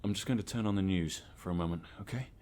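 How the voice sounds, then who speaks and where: A man's voice: deep voice, quick pace, darkish tone, slight background noise, natural voice. A man acting a restless, preoccupied husband in an audio drama, talking in an understated, muted way. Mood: tired, considerate.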